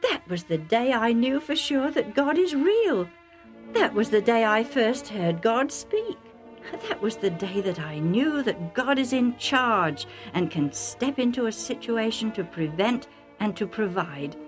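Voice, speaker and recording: A woman's voice: on the high side, big pitch swings, unhurried, music in the background, voice pushed up. Lady doing a stubborn old man of faith for a bible story, really declaiming. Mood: indignant, fervent. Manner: emphatic, declamatory.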